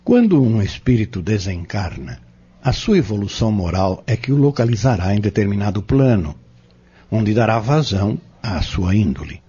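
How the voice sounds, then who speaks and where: An actor playing a kindly, solemn pharmacist and healer in a Brazilian audio drama, speaking in formal, measured, preacherly phrases with a Brazilian accent. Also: male voice, on the low side, sing-song, warm tone, husky, full character voice. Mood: explaining. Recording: muffled, dull lo-fi recording.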